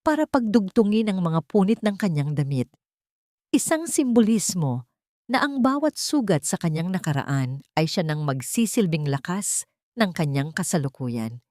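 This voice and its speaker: Female Filipino narrator for dramatic audiobook fiction; lowish register, very dry recording. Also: very animated, normal speed, mellow, no room sound. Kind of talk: dramatic scripted story narration.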